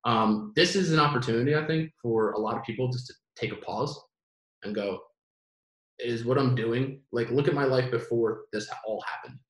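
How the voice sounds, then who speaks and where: A man's voice: medium pitch, normal speed. Young male guest, late 20s, loose rambling talker with a bit of room echo. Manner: rambling, animated, many restarts. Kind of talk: casual conversation.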